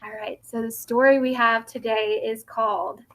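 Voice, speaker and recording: A woman speaking as a teacher during a children's read-aloud, instructive and expressive as she addresses the listeners directly. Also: a little high, normal speed, low-quality recording. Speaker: teacher reading a picture book aloud. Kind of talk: read-aloud for young children, with questions to the class.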